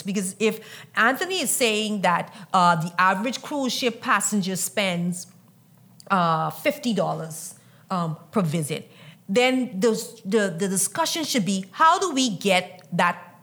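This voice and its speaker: A woman moderating a panel discussion, speaking in a measured, deliberate and emphatic way. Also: medium pitch, a bit bright.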